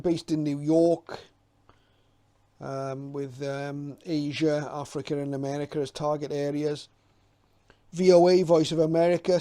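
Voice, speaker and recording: Middle-aged man, relaxed dark-soft timbre, flat intonation, hesitant, informal hobbyist commentary. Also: on the high side. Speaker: presenter, radio hobbyist.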